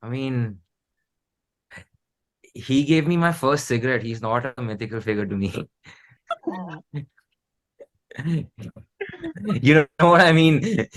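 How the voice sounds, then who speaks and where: A man with an Indian accent chatting casually as an interview guest, in an informal, halting way. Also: mid-range voice, animated, fairly bright tone, rough recording. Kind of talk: casual chat.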